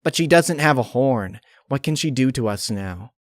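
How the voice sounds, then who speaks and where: An uneasy, plain-spoken female pony played by a man in a grim storybook tale. The pitch sits above his normal speech, and the delivery is blunt and questioning. The recording is dry and close. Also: expressive, moderate pace, dry recording, lightly acted.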